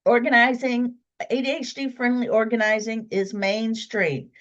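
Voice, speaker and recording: A woman's voice: on the high side, little pitch movement, normal speed, a bit bright, dull audio. A woman who is a professional organizer, hosting a casual solo podcast while congested with a cold, chatty with fillers and restarts.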